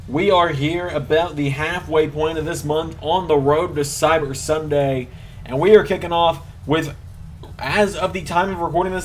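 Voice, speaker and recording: A man commentating play-by-play on a wrestling video game as channel host, with announcer-like, drawn-out emphasis. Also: fairly high pitch, a little hiss or hum. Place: voice-over recorded at a computer over gameplay.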